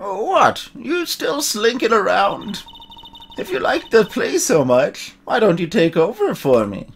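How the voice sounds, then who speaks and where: Guy doing a cranky old lady guard from a video game, high voice, swoops all over, scolding and sputtering. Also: big pitch swings, unhurried, a little hiss or hum, heavily acted. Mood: grumbling, defiant. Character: cranky, indignant old woman security guard. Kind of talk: dramatic video game dub-along.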